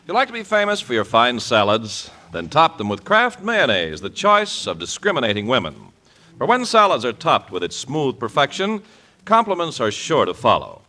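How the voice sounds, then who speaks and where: A man delivers a polished 1940s radio sales pitch with emphatic care. His bright voice rises and falls with great animation around a middle pitch. It has the sound of a vintage broadcast advertisement. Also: huge pitch swings, moderate pace. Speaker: commercial announcer.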